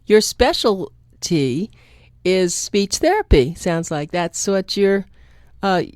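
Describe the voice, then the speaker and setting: A woman radio host speaking at a middle pitch in a dry, close studio sound. She asks questions and nods along with short murmurs. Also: sing-song, slow, darkish tone, dry recording. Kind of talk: radio interview.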